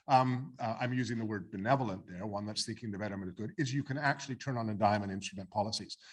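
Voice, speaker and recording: A man's voice: medium pitch, a bit bright, slightly rough. A man speaking on a panel discussion, opinionated and joking with the audience, with many restarts. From Canada. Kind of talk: panel discussion answering questions.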